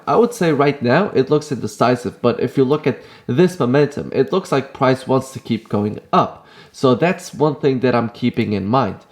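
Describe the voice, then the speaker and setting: A young man vlogging his day and casually talking through trading charts, relaxed and self-deprecating, with frequent fillers. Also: medium pitch. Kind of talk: casual vlog and market commentary.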